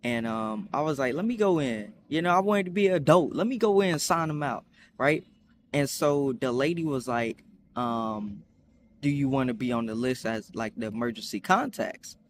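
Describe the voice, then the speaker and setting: A young man chatting casually in a storytime vlog, rambling with many fillers and restarts, acting out quotes in higher voices. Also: expressive, moderate pace, slight background noise. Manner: acts out quotes with high voices. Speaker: vlogger.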